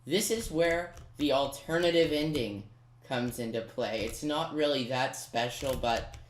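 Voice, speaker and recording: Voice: medium pitch, roomy sound. A gaming commentator giving casual step-by-step gameplay guidance, informal and talking directly to the viewer. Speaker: video game commentator.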